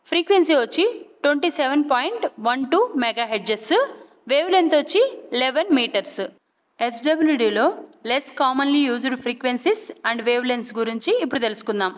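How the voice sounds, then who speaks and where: A woman with a Telugu Indian accent giving a scripted educational lecture as an instructor, deliberate and point by point, mixing in English technical terms. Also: sits high. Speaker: physiotherapy instructor. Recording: long hall-like reverb.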